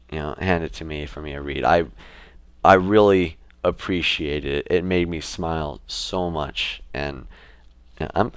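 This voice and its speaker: Deep-voiced young man vlogging casually. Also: smooth, dry recording, studio quality. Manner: relaxed, rambling with fillers and restarts. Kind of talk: casual talk to camera.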